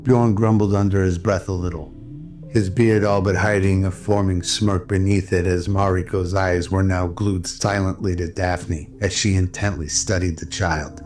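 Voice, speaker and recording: A man's voice: deep voice, steady tone, moderate pace. A man reading scripted narration for an audio drama. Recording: music under the voice. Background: music.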